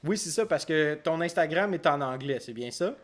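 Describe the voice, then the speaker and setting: A man's voice: on the high side, animated, moderate pace. A man hosting a casual interview podcast, asking questions with many hesitations and fillers. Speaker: podcast host.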